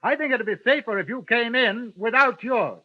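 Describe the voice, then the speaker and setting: An actor playing a polite, drily menacing gentleman gunman, who also narrates in 1950s radio-drama style. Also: very high-pitched, moderate pace. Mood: wry calm. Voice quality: crisp, formal, drily menacing. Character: cool, polite gentleman gunman, middle-aged man. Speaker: narrator and lead. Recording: tinny old radio broadcast, faint hiss.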